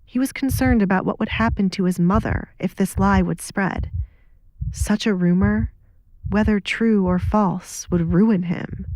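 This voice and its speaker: A woman's voice: mid-range voice, unhurried, darkish tone, dry recording, poor audio. Woman narrating a dramatic audio story.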